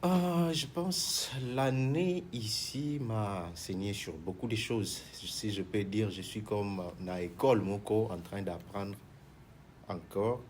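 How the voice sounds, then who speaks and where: A man's voice: lowish voice, slow pace, a little mellow, a little hiss or hum. A man, a manager and minister answering in a TV talk show interview, hesitant and mixing in Lingala. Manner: hesitant, many restarts, mixes in Lingala.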